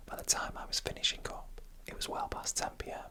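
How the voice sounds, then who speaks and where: This is an ASMR narrator telling creepy stories entirely in a whisper. The delivery is hushed and breath-only, with a bright, sharp edge. Phrases are set apart by deliberate pauses. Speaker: narrator reading horror stories.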